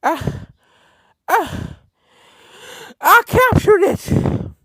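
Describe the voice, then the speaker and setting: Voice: extremely high pitch, very animated, very slow, pushed and bright, no room sound, clean studio sound, pitched above the performer's own voice, exaggerated voice. A narrator voicing a plucky, worn-out young cartoon filly in a story reading, with music behind. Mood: triumphant, strained.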